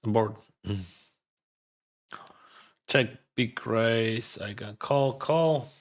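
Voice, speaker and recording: Slow, hesitant Russian-native man. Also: mid-range voice. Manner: hesitant, second-guessing, filler-heavy non-native English. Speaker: poker player streaming his games. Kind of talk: thinking aloud while playing online poker.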